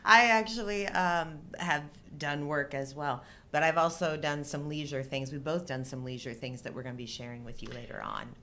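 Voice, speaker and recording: A woman's voice: deep voice, expressive, normal speed, hardly any pauses, bright, forceful tone. A middle-aged woman chatting casually as a co-host and vlogger. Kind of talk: casual chat.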